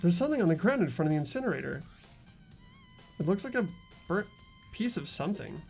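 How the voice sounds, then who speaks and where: A playthrough host reading a video game's narration aloud in an expressive storytelling way. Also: mid-range voice, fairly quick, vocal fry. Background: faint music.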